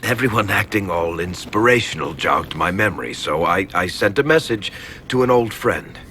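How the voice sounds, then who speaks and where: Wry male rogue, game dialogue, affable, animated pitch, bright tone, light noise. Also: moderate pace. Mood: sheepish. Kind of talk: conversational video game acting.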